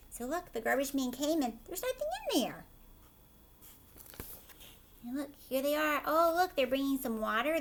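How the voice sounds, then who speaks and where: A woman with a fairly high voice speaks as a teacher to young children. She moves between reading a picture book aloud and chatty asides, keeping her wording simple and often tagging on short check-in questions. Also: gravelly.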